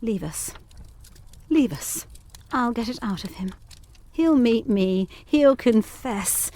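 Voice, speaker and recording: Intense young governess in a gothic drama. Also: female voice, a little high, expressive, slow, dark tone. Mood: fervent resolve. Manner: formal, literary phrasing, urgent. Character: young governess, earnest, intense, anxiously determined. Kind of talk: audio drama acting of Victorian gothic ghost story.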